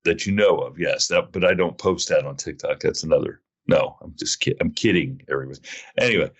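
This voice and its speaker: Low-voiced rambling podcast host. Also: male voice, a little fast. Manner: rambling storyteller, self-mocking. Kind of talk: casual chat.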